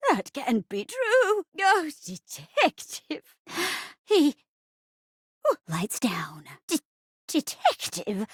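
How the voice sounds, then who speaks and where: A woman's voice: dry recording, studio quality, exaggerated voice. Wildly melodramatic old lady chair. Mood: shocked, confiding. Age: older. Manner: stagey, gasping, swooping from hushed asides to high cries. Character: theatrical, melodramatic old woman who is a living chair. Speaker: datable game character. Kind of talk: video game voice acting, murder-mystery melodrama.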